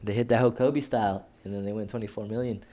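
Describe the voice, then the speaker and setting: Young man, low voice, podcast co-host, casual slangy rambling. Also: steady tone, quick pace, dark tone. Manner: slangy, rambling, says "bro" and "dog" a lot. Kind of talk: casual chat.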